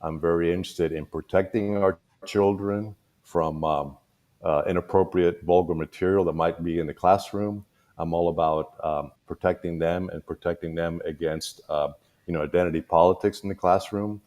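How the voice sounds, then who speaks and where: A man in his sixties, born in Cuba, talking about himself as a school board candidate in a relaxed way, with many pauses and fillers. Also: low-pitched. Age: 60s. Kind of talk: casual panel chat.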